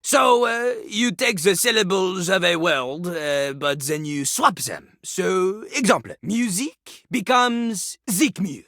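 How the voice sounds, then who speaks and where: A man's voice: high voice, slow, hardly any pauses, pushed and bright, no room sound, studio quality, heavily acted. A man voicing a vain, boastful French rapper toilet in a video game, with a French accent and a pompous, theatrical way of talking about himself in the third person. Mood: self-satisfied, coy. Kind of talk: video game character acting, bursts of rap.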